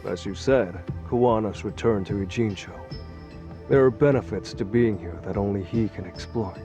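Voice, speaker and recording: A man as stern, mellow-voiced underworld insider. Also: fairly low pitch, natural voice. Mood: guarded. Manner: measured, formal, coolly forbidding. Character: guarded, stern male insider of a secretive underworld group. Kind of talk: English dub acting for a game cutscene. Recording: faint music behind the voice.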